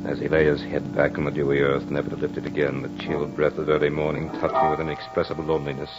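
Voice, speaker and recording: An actor playing a proud, battle-hardened soldier in old radio horror drama, with formal, theatrical diction. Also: male voice, very deep voice, monotone, quick pace. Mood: brooding, remorseful. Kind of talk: 1940s-50s radio horror drama acting. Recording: muffled old-time radio recording with music.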